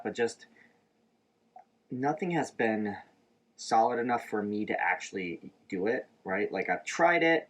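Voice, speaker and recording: Stuffy-nosed man chatting casually. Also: medium pitch. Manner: rambling, relaxed, many fillers. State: stuffed up, a little nasal. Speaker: shaving vlogger. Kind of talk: casual chat to camera. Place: inside an RV.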